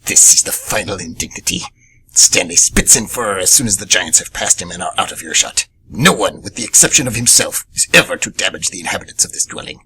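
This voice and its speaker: A man's voice: low voice, expressive, fairly quick, sharp tone, a little hiss or hum, exaggerated voice, rough recording. A man performing radio theatre as a vengeful, obsessed little monkey demon hunter, narrating with grave drama. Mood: brooding, vengeful.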